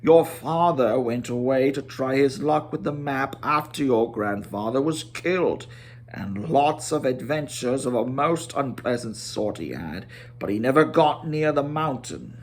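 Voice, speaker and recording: A man voicing a grave old wizard in a storybook reading, stern, proud, slow and grim in manner. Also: mid-range voice, animated.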